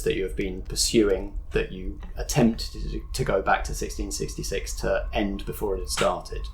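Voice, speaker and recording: A man running a tabletop roleplaying game, his voice low and even as he sets scenes. He slows down for the dramatic beats and keeps a steady, descriptive narration, with a faint room ambience behind him. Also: a little hiss or hum, rough recording. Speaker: game master.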